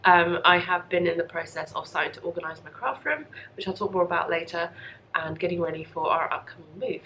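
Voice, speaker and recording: A young woman with a slightly low voice hosts a chatty podcast. She sounds bunged up from a cold and talks in a rambling, self-deprecating way. There is clear background noise in the recording. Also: fairly quick, sharp tone, poor audio. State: congested and coughy from a lingering cold. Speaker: podcast host. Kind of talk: casual chatty video podcast. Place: home craft room.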